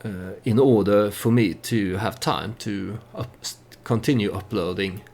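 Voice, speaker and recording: A man narrates his strategy game playthrough in a low, creaky voice. He speaks very slowly and haltingly, working out the story as he goes in a casual way. Faint noise sits behind the voice. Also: darkish tone. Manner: hesitant, halting, thinking aloud.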